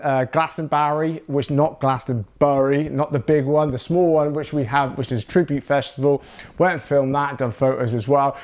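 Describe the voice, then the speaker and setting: A man's voice: mid-range voice, darkish tone. A man from South England, talking casually to camera as a YouTuber, rambling along with lots of fillers. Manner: rambling, with many fillers like "you know" and "that lot". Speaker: YouTuber and videographer.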